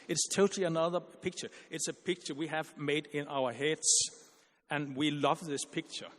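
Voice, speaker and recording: A man giving an earnest, argumentative conference panel speech, halting with frequent restarts and repeated words. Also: a little high, fairly even intonation, big-room sound.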